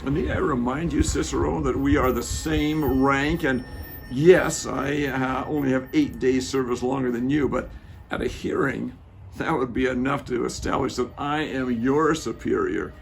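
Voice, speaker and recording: A gravelly Roman soldier played by a man in a passion play, mid-pitched and pondering. He is proud and full of doubt, and weighs his words haltingly over faint music. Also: natural voice. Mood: firm, reflective. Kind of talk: dramatic reading of a church passion play.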